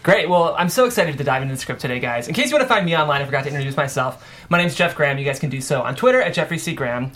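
A man's voice: on the high side, lively intonation, racing, fairly bright tone. A man hosting a table read and narrating the script's stage directions.

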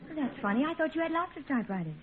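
An actress playing a scatterbrained, innocent wife with a meandering, literal-minded manner and a tart streak, in a 1950s radio comedy. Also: female voice, high-pitched, big pitch swings, rapid-fire. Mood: vague, innocent. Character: scatterbrained, placid wife who turns tartly huffy. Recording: tinny, faintly noisy old radio recording.

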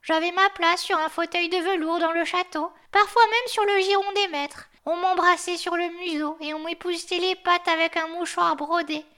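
Storybook casting: a woman playing a shrill, know-it-all old watchdog who barks his words, loud and high. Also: fairly even intonation, normal speed, few pauses. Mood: reminiscing. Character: old grumpy male yard dog, know-it-all.

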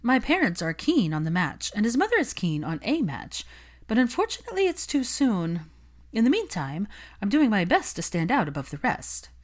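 A woman voicing a young, smug society gossip and husband-hunter in an audiobook, with an affected, bright, confiding manner. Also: mid-range voice, wildly swooping pitch, normal speed, pitched above the performer's own voice. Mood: smug, confident.

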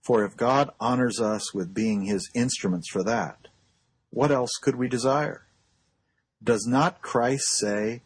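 A man reading a formal sermon aloud in a solemn, measured and earnest oratorical manner. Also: mid-range voice, slightly rough, dry recording. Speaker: pastor reading a historic sermon.

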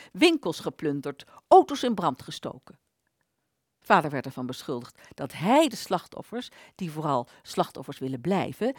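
A woman's voice: sits low, no room sound, clean studio sound. Woman voicing a theatrical, wounded abandoned idea. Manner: theatrical, dramatic storytelling with slow emphases. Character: bitter aborted idea, a vanished thought under a sheet. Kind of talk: Dutch radio play monologue.

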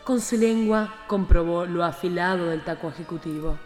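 Casting: female radio-play narrator woman performer, campy and florid, measured delivery, soft music underneath. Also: on the low side, reverberant, in a large hall, close to an everyday voice. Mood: solemn, sensual. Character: dramatic female narrator of a camp erotic tale.

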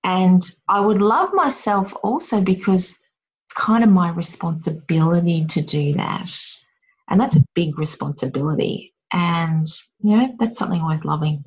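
A woman's voice: fairly low pitch, normal speed, slightly rough, dull audio. A woman hosting a casual chat, talking in a reflective, encouraging way.

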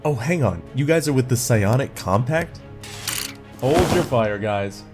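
A man's voice: mid-range voice, expressive, a little slow, music in the background, close to an everyday voice. A man playing a firm, plain-spoken guard leader in a sci-fi audio drama. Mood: surprised, curious. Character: stern male guard leader in space commune.

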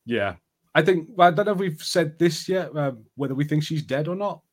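A man's voice: on the high side, expressive, fairly quick. A man co-hosting a podcast, giving his opinions in a casual, conversational and tentative way. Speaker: podcast co-host. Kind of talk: casual chat.

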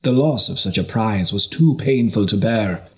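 A man narrates a historical novel in a low voice. His delivery is measured and scripted, shaped like storytelling for an audiobook.

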